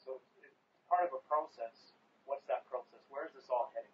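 A man's voice: mid-range voice, normal speed. A man in his forties asking plain, curious questions in an outdoor interview on a hilltop overlook. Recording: distant, dull sound with faint outdoor ambience.

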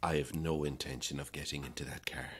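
An actor playing a polite, cautious hotel receptionist in an audio drama, speaking carefully and haltingly. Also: male voice, very deep voice, steady tone, a little hiss or hum. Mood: wary. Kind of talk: naturalistic audio drama acting.

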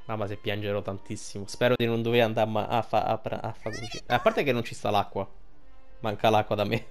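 A man's voice: lowish voice, steady tone, quick pace. A man giving colloquial, sweary commentary on a video game. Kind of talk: casual game commentary with reading dialogue aloud. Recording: game music behind the voice. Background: video game music.

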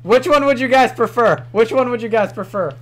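Young streamer, New York accent, raised voice, loose rambling chat with swearing, soft music underneath. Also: male voice, very high-pitched, a little fast. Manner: rambling, swears casually, laughs often. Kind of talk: casual livestream chat. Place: small home room.

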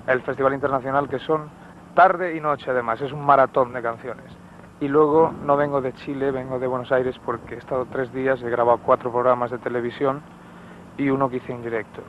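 A young man from Spain, a singer answering press questions in an easy, measured way with some fillers, recorded on an interviewer's handheld mic. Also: medium pitch, slight background noise. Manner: relaxed, measured replies with fillers. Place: recording studio, later outdoors at an airport. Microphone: handheld mic held by interviewer. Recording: muffled 1970s TV film sound.

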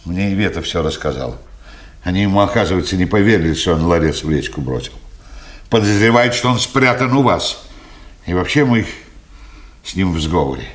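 An actor playing an agitated, fearful go-between bringing grim news in a Soviet radio drama about war. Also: male voice, low voice, animated, brisk. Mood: grim, defeated.

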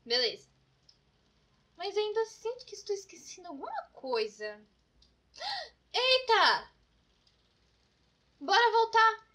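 A woman with a Brazilian accent voicing an excitable, scatterbrained best friend in a children's comedy cartoon, dramatic and full of exclamations. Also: extremely high pitch, takes it slowly, pauses often, heavily acted. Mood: fretting. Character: excitable, scatterbrained, over-prepared young female best friend.

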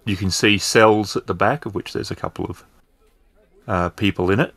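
Male game master, low register, dry sound, descriptive and a little hesitant. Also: fairly even intonation, moderate pace, a bit bright, dry recording. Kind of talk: narrating a tabletop roleplaying game.

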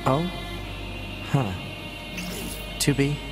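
A man's voice: medium pitch, very slow. A man voicing a curious, earnest, youthful military android in a video game. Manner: earnest, inquisitive. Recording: music behind.

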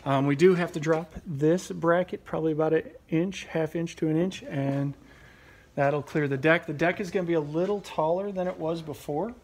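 A man in his thirties giving a relaxed, rambling walkaround of a boat project in a large workshop garage, filmed on a handheld phone, with many fillers and restarts. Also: a little high, normal speed. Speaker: channel host. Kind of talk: casual walkaround vlog. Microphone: handheld phone camera close up.

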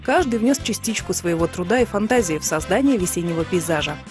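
A woman's voice: lowish voice, expressive, fast talker. A woman reporter reading scripted narration for a television feature. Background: music.

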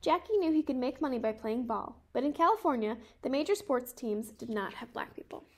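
A woman in her twenties reading a children's picture book aloud in a careful, measured way. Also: high-pitched, animated. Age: 20s. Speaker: storybook reader.

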